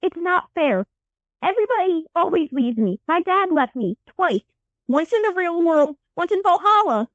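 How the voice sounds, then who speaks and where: A woman's voice: very high-pitched, very animated, moderate pace, dry recording, dull audio. A woman voicing an earnest, aggrieved young teen boy hero in a fantasy audio drama, emotional and a little whiny. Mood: hurt, upset.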